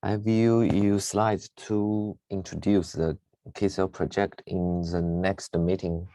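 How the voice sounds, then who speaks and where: Deep-voiced man on a video call. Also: little pitch movement, takes it slowly, warm tone, no echo. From China. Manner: careful, repeats the same rehearsed introduction. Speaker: open-source project maintainer. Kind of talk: short self-introduction in a video meeting.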